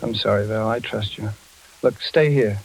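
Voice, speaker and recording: A man's voice: fairly low pitch, moderate pace, creaky. An American man playing a determined, suspicious but tender engineer in danger, in the style of 1940s radio suspense. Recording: noisy old radio recording with crackle.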